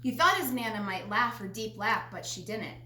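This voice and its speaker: A woman's voice: mid-range voice, animated, normal speed, bright, forceful tone. A woman reading a children's picture book aloud as narrator. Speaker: storybook reader. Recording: roomy echo, faint background noise.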